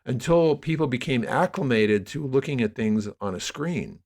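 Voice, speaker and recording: A man's voice: mid-range voice, animated, moderate pace, a little mellow. A man speaking as an interview guest, explaining at length in a discursive, emphatic storytelling way. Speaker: podcast guest, audio drama producer. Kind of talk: conversational interview, explaining media history.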